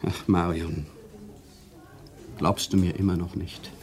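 An actor playing a smooth-talking, self-pitying conman lover in a German radio crime drama, intimate and theatrical, often dropping to murmurs. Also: low voice, steady tone, slow, a little mellow. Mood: indignant, aggrieved.

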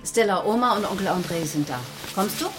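A woman acting a brisk, practical, strict mother in a children's audio drama, with a bossy way of talking. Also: mid-range voice, animated, over music, natural voice. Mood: busy, brisk.

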